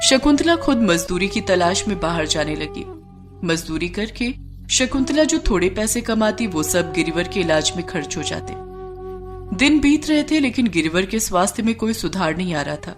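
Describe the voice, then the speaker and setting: A woman's voice: mid-range voice, big pitch swings, normal speed, music in the background. A woman narrating a scripted moral story.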